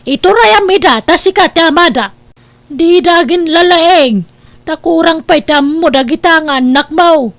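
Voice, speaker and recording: A woman's voice: extremely high pitch, measured pace, no echo. A woman playing a devoted, hardworking young elder sister with a Filipino accent, earnest and emotive in an Ilocano family radio drama. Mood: indignant.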